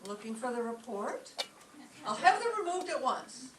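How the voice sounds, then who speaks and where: A woman's voice: a little high, sing-song, normal speed. A woman playing a wry, sarcastic schoolteacher in an old-time radio comedy. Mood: flustered, wry. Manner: dry, sarcastic wisecracking. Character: wry, sardonic female schoolteacher. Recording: roomy, distant microphone, faint noise.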